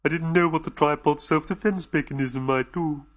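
A man voicing a nervous, gentle male alien who speaks anxiously and apologetically. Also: on the high side, fairly even intonation, moderate pace, close to an everyday voice.

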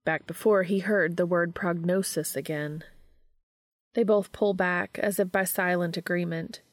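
A woman's voice: lowish voice, steady tone, a little slow, no echo, studio quality. A woman narrating a fiction audiobook from a script.

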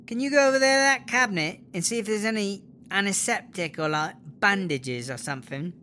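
A woman's voice: mid-range voice, brisk, a bit bright, slight background noise, natural voice. A woman playing a defiant, foul-mouthed tough prisoner and rapper with a British accent in a comedy audio drama, blunt and cheeky. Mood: pained, gruff.

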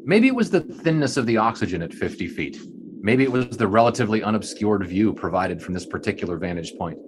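A man's voice: on the low side, sing-song, fairly quick, background noise. A man playing an inquisitive 1920s sports writer in an audio drama, reflective and literary in his narration and questions. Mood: wistful narration.

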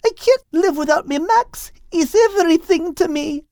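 Guy doing a worried lady dog for a storybook, way high and mellow, shaky, pleading. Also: normal speed, no room sound, clean studio sound. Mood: anxious, trembling. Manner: trembling, pleading.

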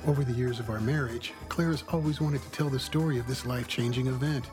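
A man's voice: mid-range voice, fast talker. A man hosting a podcast, giving a friendly, welcoming scripted introduction. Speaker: podcast host. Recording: music playing underneath.

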